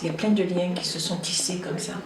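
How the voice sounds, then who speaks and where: A woman who performed in the show, speaking gently and reflectively in an interview. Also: on the low side, steady tone, fast talker, background noise. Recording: roomy distant mic, crowd behind.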